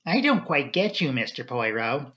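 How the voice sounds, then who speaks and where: A man's voice: mid-range voice, very animated, normal speed, fairly bright tone. A man voicing a grave, fussy local police inspector in an audiobook, plain-spoken, blunt and stubborn. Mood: annoyed, fussy. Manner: blunt, matter-of-fact, stubborn.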